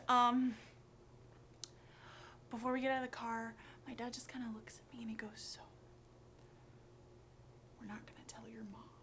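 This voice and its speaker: Woman acting an anxious, high-voiced, guilt-ridden young confessor. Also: a bit bright, lightly acted. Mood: nervous rationalizing. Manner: halting, rambling, filler-heavy, neurotic. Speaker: actor. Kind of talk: acted confessional monologue, conversational. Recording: clearly noisy background ambience.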